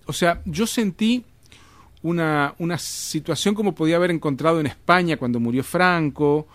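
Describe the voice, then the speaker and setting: A man's voice: a little high, no room sound. Rambling Argentine man, dry close sound. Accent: Argentine Spanish. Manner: rambling, with frequent restarts and tag questions. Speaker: interviewed author. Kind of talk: casual conversational storytelling.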